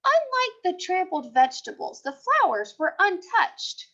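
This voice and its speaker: A woman reading as an earnest high-voiced schoolboy detective. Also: very animated, a little slow, pushed and bright, heavily acted. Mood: earnest, serious. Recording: dull, lo-fi sound.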